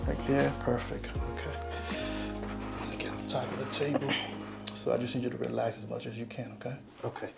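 A man's voice: mid-range voice, little pitch movement, a little fast, gravelly, over loud music. A middle-aged man talking casually and reassuringly as a chiropractor while he treats a patient in a small room. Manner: reassuring, checking in often.

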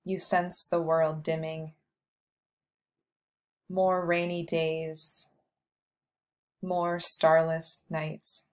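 A woman leading a guided meditation, speaking slowly and softly with long pauses between phrases. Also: deep voice, flat delivery. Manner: slow, soft, soothing delivery with long pauses.

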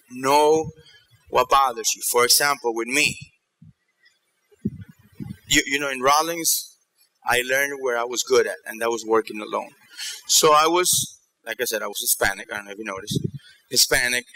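Bright-voiced man giving a motivational talk. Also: medium pitch, poor audio. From New York and Ecuador. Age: middle-aged. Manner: animated storytelling, checking in with the audience. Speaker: keynote speaker. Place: theater stage in a large auditorium.

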